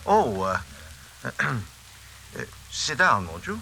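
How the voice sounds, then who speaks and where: An actor playing a polite, skeptical asylum doctor in 1940s radio drama, hesitant and measured as he soothes. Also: medium pitch, very animated, vocal fry, pushed and bright, exaggerated voice. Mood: polite, probing. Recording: old hissy 1940s radio broadcast.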